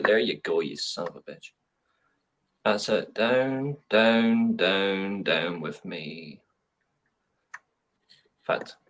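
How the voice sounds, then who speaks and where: A man's voice: fairly low pitch, a bit bright, recorded from a distance, slight background noise. Joking man, off-mic. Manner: banter, joking, swearing. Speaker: watchalong co-host. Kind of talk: casual football chat.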